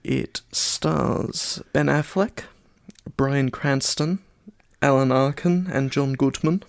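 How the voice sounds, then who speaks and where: A man co-hosting a casual film podcast, halting and dryly playful. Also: mid-range voice, vocal fry, no room sound, studio quality. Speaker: podcast co-host.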